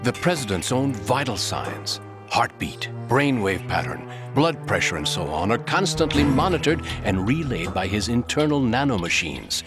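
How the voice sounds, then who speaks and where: An actor playing a self-assured military insider in a video game, explaining things in an assertive way. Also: male voice, medium pitch, big pitch swings, normal speed, over loud music. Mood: confident, explanatory. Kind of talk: video game cutscene acting.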